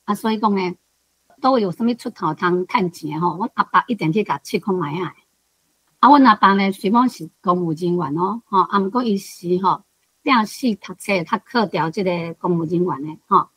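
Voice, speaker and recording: A woman in her sixties with a Taiwanese accent, a language teacher sharing personal reflections in deliberate, particle-punctuated phrases. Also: on the low side, fairly bright tone, breathy edge, no room sound, rough recording. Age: 60s.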